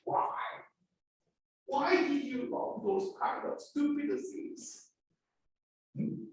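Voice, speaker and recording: Korean-accented guy teaching business, high lively voice, super echoey room, mic far off. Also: drawn-out words. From South Korea. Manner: quizzes students with repeated rhetorical questions. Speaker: business professor. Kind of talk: classroom lecture.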